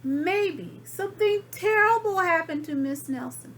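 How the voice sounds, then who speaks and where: A woman voicing excitable, earnest young schoolchildren in a storybook, exclaiming with strong emphasis. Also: very high-pitched, unhurried, voice pushed up. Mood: worried, eager.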